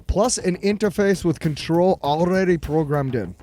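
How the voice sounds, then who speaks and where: An actor playing an eager, deferential research chief in an audio drama, explaining things precisely and proudly. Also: male voice, fairly high pitch, normal speed, mellow, a little hiss or hum, natural voice.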